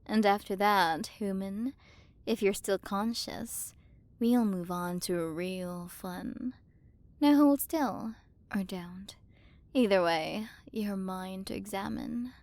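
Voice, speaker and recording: A woman's voice: mid-range voice, slow pace, darkish tone, dry recording. Casting: female performer as an imperious alien queen-scientist for ASMR roleplay; mid-range voice, commanding and teasingly superior, intimate dry recording. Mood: menacing, teasing. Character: haughty alien galaxy queen, possessive scientist captor.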